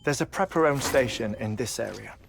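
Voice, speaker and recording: An actor playing a grieving, eccentric middle-aged scientist in a video game, speaking in a measured, scholarly way. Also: male voice, medium pitch, creaky, noisy background, close to an everyday voice. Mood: earnest, explanatory. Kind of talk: dramatic video game cutscene acting. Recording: music behind the voice.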